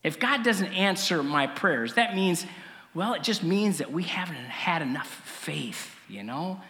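A man delivers a sermon in a conversational, storytelling style. His slightly rough voice is bright and fairly high, moving in lively swings between pauses. The sound carries the long reverb of a hall. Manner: conversational, halting, with frequent pauses and throat clearing. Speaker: preacher.

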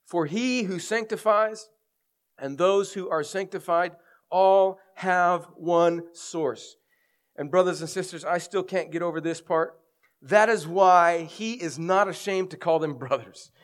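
A man's voice: high voice, unhurried. A middle-aged male pastor with a head cold and scratchy throat, preaching a sermon earnestly, explaining and reading scripture with emphasis.

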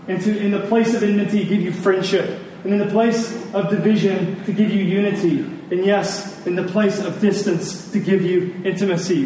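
A man preaching a sermon to a congregation in an earnest, deliberate manner, repeating phrases for emphasis. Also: high-pitched, darkish tone. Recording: very echoey room, distant mic, faint noise.

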